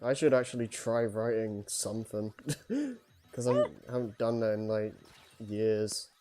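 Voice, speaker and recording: A man co-hosting a podcast in casual chat, talking in a halting, informal way. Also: lowish voice, lively intonation, warm tone, faint music behind. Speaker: podcast co-host.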